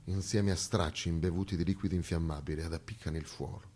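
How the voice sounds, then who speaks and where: A man's voice: deep voice, steady tone, quick pace, slightly rough. A man performing as a grave storyteller, narrating history in an emphatic, theatrical stage-monologue style. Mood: hushed, grave. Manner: solemn, emphatic delivery swinging from declaimed to hushed. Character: grave male storyteller recounting a massacre with indignation.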